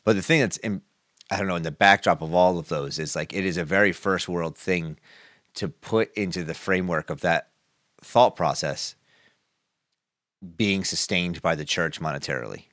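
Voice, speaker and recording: Low-voiced man musing on faith. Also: normal speed, a bit bright, no echo, clean studio sound. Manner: rambling, restarts mid-thought. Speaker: podcast co-host. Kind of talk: casual faith discussion.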